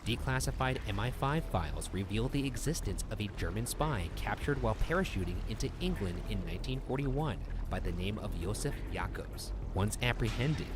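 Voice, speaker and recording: A man reading a scripted mystery narration in a measured, storytelling delivery. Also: on the low side, background noise. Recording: narration over background music.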